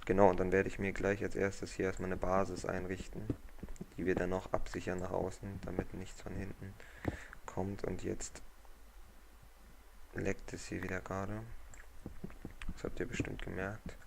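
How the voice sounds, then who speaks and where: Hoarse low-voiced man with a gravelly edge. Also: normal speed, pauses often. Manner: relaxed, rambling, thinks aloud. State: hoarse from a cold. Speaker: gaming channel host. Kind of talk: casual let's-play commentary. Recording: noisy home mic recording.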